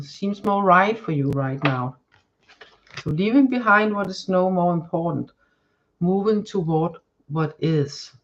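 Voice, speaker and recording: A woman with a Danish accent, whose first language is Danish, giving a live tarot reading to viewers and often pausing to search for words. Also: lowish voice, a bit bright, dull audio, slight background noise. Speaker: tarot card reader. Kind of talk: live-stream card reading, chatting to viewers.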